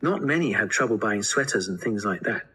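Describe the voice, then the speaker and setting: Animated, slightly husky student played by a man. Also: fairly low pitch, a little fast, heavily acted, rough recording. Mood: earnest, informative. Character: studious male college student presenting survey findings. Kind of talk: scripted listening-test dialogue.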